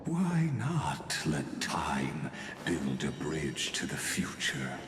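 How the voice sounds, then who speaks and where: A man voicing a grave, portentous mythic narrator in a video game, measured and theatrical. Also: extremely low pitch, huge pitch swings, background noise, exaggerated voice. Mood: ominous, grave. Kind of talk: dramatic scripted game narration. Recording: echoey long reverb under music. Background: music.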